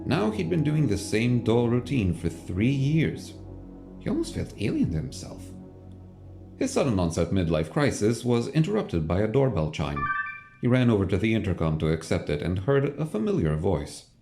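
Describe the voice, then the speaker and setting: A man's voice: fairly low pitch, big pitch swings, moderate pace, gravelly. A man reading scripted third-person narration for an audio drama, as a storyteller. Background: music.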